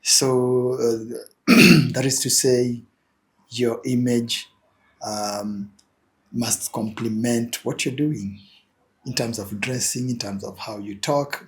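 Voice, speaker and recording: A young man with a Kenyan accent sits as an interview guest and talks at an ordinary middle pitch. He hesitates a lot, restarting phrases and filling gaps with "uh" and "um". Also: steady tone, slow pace. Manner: hesitant, many fillers and repeated words. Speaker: guest, image consultant. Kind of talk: interview answers.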